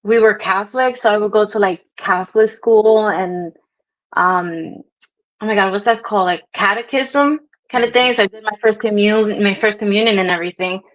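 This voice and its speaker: A woman's voice: medium pitch, moderate pace, no echo. Chatty woman with a New York accent. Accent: New York with Spanish touch. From Brooklyn, New York, Dominican descent. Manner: chatty, laughs easily, many fillers. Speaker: guest. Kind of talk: casual storytelling interview. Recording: dull lo-fi video call audio.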